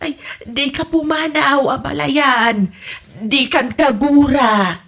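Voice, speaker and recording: A woman's voice: sits high, slow pace, fairly bright tone, full character voice. A woman playing an older Ilocano widow in a comedy drama, coy, huffy and given to teasing exclamations. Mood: coy, yearning. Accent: Filipino, Ilocano.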